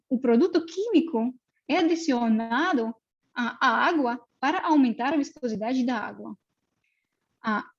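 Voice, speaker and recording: A woman's voice: high-pitched, husky, dull audio. A young woman from Russia, speaking with a Russian accent, gives a hesitant seminar lecture full of fillers. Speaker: postdoctoral researcher.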